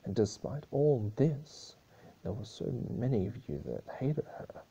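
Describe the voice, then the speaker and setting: A man's voice: medium pitch, very animated, measured pace, creaky, dark tone, husky, exaggerated voice. A man voicing a grieving, furious female pony ruler in a solemn, accusing speech-like manner. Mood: bitter anger.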